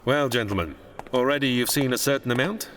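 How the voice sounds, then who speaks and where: A man's voice: medium pitch, fairly quick, a bit bright, a little hiss or hum, close to an everyday voice. A man playing a proud, learned older scientist who lectures formally and grandly in a radio sci-fi drama. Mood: grave, proud. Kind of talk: old-time radio sci-fi drama acting.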